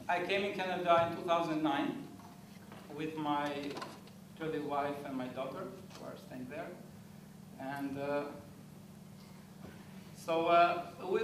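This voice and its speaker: Hesitant man from Albania, higher voice. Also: fairly even intonation, slow pace, long pauses. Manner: hesitant, repeats words, many fillers. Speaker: immigrant telling his story. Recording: echoey room, distant mic, noisy.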